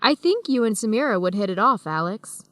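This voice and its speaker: A woman's voice: medium pitch, expressive, a little fast, fairly bright tone, dry recording, studio quality, lightly acted. An actress playing a friendly, easygoing young woman student traveler in a radio drama for English learners. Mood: lighthearted. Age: young adult.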